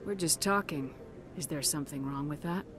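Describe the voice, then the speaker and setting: A woman's voice: low voice, normal speed, music in the background, lightly acted. A woman voicing a confident, principled military commander in a video game, direct and probing.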